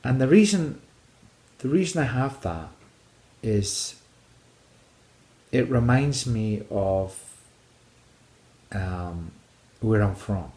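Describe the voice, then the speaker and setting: A man from Scotland who vlogs about hiking, telling personal stories casually to his viewers in a rambling, halting way that often repeats phrases. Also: on the low side, lively intonation, mellow. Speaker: hiking vlogger. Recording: echoey room.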